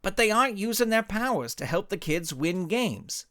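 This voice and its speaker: Nervous male coach for audio storybook, played by a male narrator: high, whimpering, pleading, animated. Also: normal speed, dry recording, clean studio sound. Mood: confessional. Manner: whimpering, insistent.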